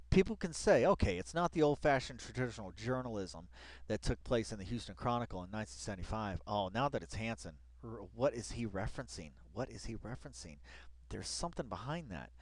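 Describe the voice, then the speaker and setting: Guy doing casual read-along commentary, pitch all over the place, keeps interrupting himself, mock dramatic, dry sound. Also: no echo. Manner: rambling, exclaiming, self-interrupting asides. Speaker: online commentator. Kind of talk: casual commentary while reading an article aloud.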